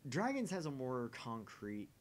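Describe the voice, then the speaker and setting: A man's voice: medium pitch, wildly swooping pitch, measured pace. A man co-hosting a game stream, casually explaining his opinions at length and repeating phrases to make his point. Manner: explains at length with repetition and restarts. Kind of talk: casual chat, opinionated musing.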